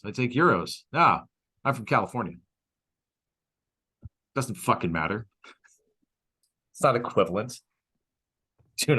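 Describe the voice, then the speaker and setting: A man co-hosting a podcast in casual comedic chat, blunt, profane and joking, acting out bits of dialogue as he tells stories. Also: lowish voice. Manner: animated storytelling with acted-out dialogue. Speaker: podcast co-host.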